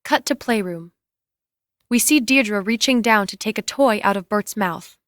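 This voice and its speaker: A woman narrates a script scene by scene, reading the directions plainly and in clipped phrases. Her pitch is medium. The sound is close and dry. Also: a little slow, a bit bright, no room sound. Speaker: reader of stage directions.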